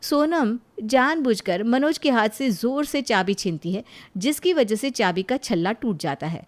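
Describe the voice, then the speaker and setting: High-pitched woman narrating a story. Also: animated, a little slow. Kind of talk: scripted moral story narration.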